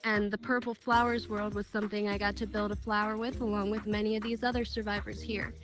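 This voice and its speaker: A woman's voice: medium pitch, flat delivery, fast talker, music in the background, rough recording. A woman giving a direct, earnest talk as a speaker at a virtual event. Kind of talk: prepared speech at a virtual event.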